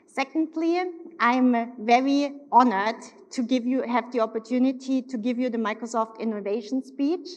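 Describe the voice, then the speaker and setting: A woman in her forties from Germany with a German accent, giving an upbeat, emphatic keynote speech on a stage and slowing down to stress key words. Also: fairly high pitch. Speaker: corporate executive keynote speaker. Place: large hotel ballroom. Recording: long reverb of a large hall.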